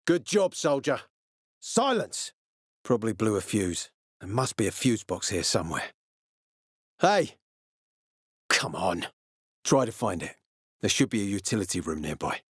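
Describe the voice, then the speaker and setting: Game role: gruff military commander, suspicious, curt and threatening, profane streak, recorded dry. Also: male voice, medium pitch, no room sound. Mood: commanding. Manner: terse, menacing, sardonic, profane. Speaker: video game voice actor. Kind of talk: video game character dialogue.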